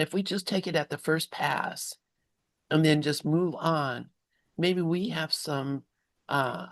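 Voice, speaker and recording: Guy musing in a spiritual discussion group, creaky voice, dry close sound, kinda halting. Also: fairly high pitch, dry recording. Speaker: study group participant. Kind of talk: informal reflective spiritual discussion.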